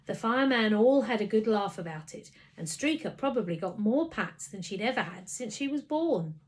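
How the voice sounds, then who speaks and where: Female narrator for a children's book, mid-range voice, expressive scripted reading. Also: medium pitch, moderate pace. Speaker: story reader.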